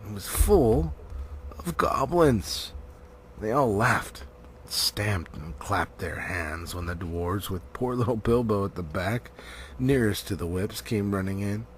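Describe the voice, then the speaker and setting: Slow-paced man reading a story dramatically. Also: on the low side, slight background noise. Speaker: amateur audiobook narrator.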